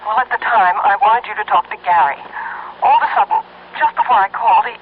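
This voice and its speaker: A woman's voice: mid-range voice, fast, slight background noise, natural voice. An actress playing an anxious wife calling her husband about their son in a 1970s radio drama. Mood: worried. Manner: worried, pleading, agitated. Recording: dull vintage radio broadcast.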